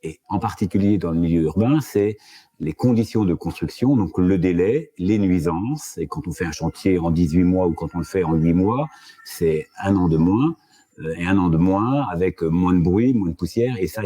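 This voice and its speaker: Deep husky guy, company boss type, calm and explainy. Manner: measured, explanatory, businesslike. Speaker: company director, panel guest. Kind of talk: panel discussion. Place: office.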